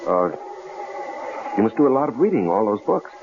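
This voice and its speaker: An actor playing a mild, anxious, polite engineer husband with a hesitant, stammering, placating manner in a 1970s radio drama. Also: male voice, lowish voice, very animated, normal speed. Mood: uneasy. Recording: dull, very noisy old radio broadcast.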